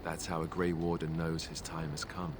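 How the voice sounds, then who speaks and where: An actor playing a wry, boyish, self-deprecating young warrior in a video game, speaking in a conversational, sardonic way. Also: male voice, very deep voice, monotone, normal speed, background noise. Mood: sombre. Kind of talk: video game character dialogue.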